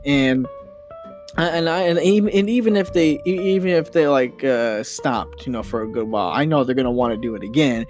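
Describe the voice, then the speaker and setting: A young man hosting a solo podcast, ranting casually with many restarts and mild swearing. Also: mid-range voice. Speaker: podcast host.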